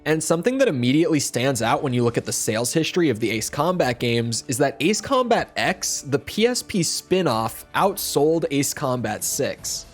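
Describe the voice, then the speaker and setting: A man reading a scripted video essay voiceover as a game reviewer, slightly hoarse, explaining in a steady, upbeat way. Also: medium pitch, moderate pace, few pauses, faint music behind. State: slightly hoarse, near losing his voice.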